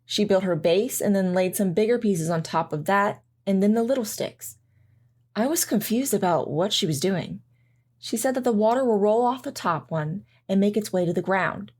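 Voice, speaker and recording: A woman's voice: mid-range voice, moderate pace, darkish tone, lightly acted. A woman playing a flustered, self-doubting mother who narrates and talks in an audio drama. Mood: reflective narration.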